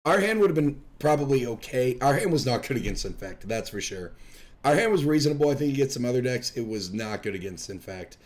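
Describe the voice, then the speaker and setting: A young man talks through a card game match as host, his voice worn thin and going hoarse from illness. He sits at an ordinary middle pitch and keeps a loose, chatty, self-deprecating manner throughout. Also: very animated, a little fast. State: losing his voice. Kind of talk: casual gameplay commentary.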